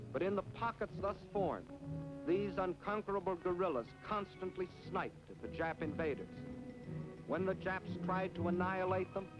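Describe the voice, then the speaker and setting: A 1940s American man delivers wartime documentary narration in a fairly high voice. His delivery is grave and emphatic, declaiming each phrase. The old film soundtrack is dull and limited, with prominent orchestral music underneath. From United States.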